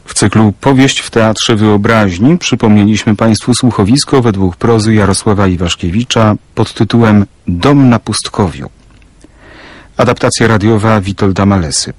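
A man's voice: on the low side, normal speed. A man reading formal scripted credits as a radio announcer.

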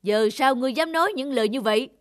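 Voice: high voice, fast, lightly acted. An actor playing a stern, imperious old male sun god in a cartoon fairy tale. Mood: angry.

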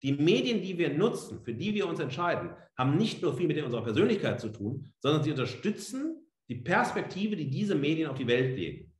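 A man's voice: a little high, very animated, fairly quick, few pauses. A middle-aged man giving an academic lecture online as a professor, emphatic, with rhetorical questions and frequent tag words. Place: indoor room, on a webcam video feed. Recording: roomy, echoing indoor sound.

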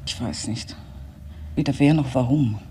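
A woman's voice: a bass voice, measured pace, mellow, a little hiss or hum. An actress playing an uneasy, guarded young woman in a German radio crime drama, answering tersely. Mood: subdued, fearful. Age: young adult.

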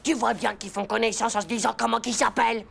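A woman's voice: fairly high pitch, steady tone, quick pace, exaggerated voice. A woman playing a brash, bossy young schoolboy with a scratchy voice and cheeky, slangy self-assurance in a children's cartoon. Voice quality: scratchy, gravelly. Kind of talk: French children's cartoon dubbing. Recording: music under the dialogue.

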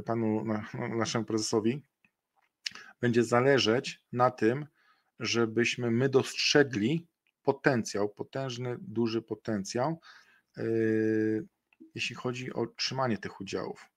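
Middle-aged male webinar presenter, gravelly voice, hesitant, fills gaps with "e", webcam sound. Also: mid-range voice. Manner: hesitant, many fillers and restarts. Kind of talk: investment webinar talk.

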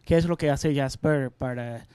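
A man's voice: mid-range voice, unhurried, warm tone, clean tone, dry recording. A man, a reporter asking a casual, slightly hesitant question.

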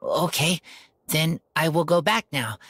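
A woman playing a shy, earnest wolf-raised teenage boy in a video game, speaking in halting, terse phrases with a husky voice. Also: low-pitched, expressive, a bit bright, a little hiss or hum, heavily acted. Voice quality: husky, breathy. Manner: halting, terse broken sentences. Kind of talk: video game character acting.

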